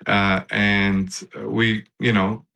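A man's voice: lowish voice, level tone, extremely slow, bright, forceful tone. Hesitant Lebanese-born man in his fifties. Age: 50s. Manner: hesitant, many fillers and restarts. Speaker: interview guest, physician. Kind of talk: casual interview talk.

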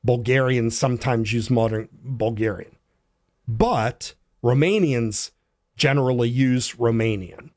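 A man's voice: mid-range voice, unhurried, darkish tone. A man hosting a talk, speaking earnestly and urging his listeners, with frequent restarts and fillers. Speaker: host. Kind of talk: talk on church language.

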